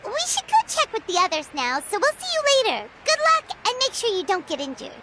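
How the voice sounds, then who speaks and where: A woman voicing a small, cheerful fairy companion in a video game, childlike, squeaky and chatty. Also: huge pitch swings, brisk, bright, forceful tone, slight background noise, exaggerated voice. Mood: cheerful, encouraging. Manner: bubbly, exclaiming, chatty. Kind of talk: video game character dialogue. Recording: music playing behind.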